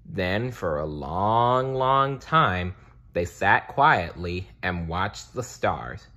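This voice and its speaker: A man narrating a children's storybook aloud in a deliberate, expressive read-aloud style. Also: deep voice. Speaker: storybook reader.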